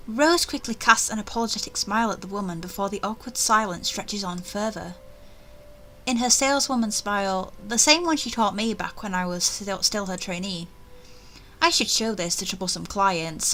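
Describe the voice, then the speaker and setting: A woman plays through a visual novel, reading it aloud. Her pitch is middling and her narration expressive, slipping into casual asides. There is slight background noise. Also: poor audio.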